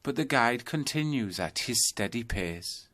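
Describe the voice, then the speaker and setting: A man narrating a scripted guided yoga nidra meditation, telling a journey in measured second-person storytelling. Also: medium pitch, animated, a bit bright.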